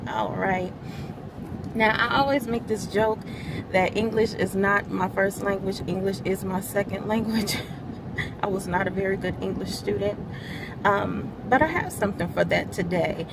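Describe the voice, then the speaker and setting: An earnest woman with a mid-range voice gives a short devotional teaching and leans on each key word as she builds. She was recorded outdoors, with clear street and vehicle noise behind her. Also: medium pitch, normal speed. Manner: earnest, stresses key words and grows louder as she explains scripture; laughs lightly between remarks. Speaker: church media ministry overseer.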